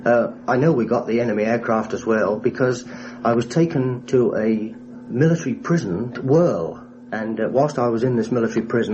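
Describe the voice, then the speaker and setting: Muffled archive recording, lower-voiced man. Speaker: former RAF airman recalling his war experience. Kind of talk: documentary interview reminiscence. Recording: muffled 1960s radio recording, hissy.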